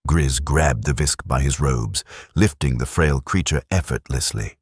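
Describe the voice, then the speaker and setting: A man narrating a science fiction audiobook in a steady, dramatic storytelling manner, close to a studio mic. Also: rumbling low, a little slow, slightly rough, no echo. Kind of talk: scripted narration.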